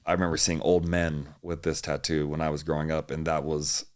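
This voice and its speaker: A man's voice: very deep voice, little pitch movement, fairly quick. A young man from Hawaii, a fitness coach, chatting easily as a podcast guest and laughing often. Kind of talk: casual interview chat.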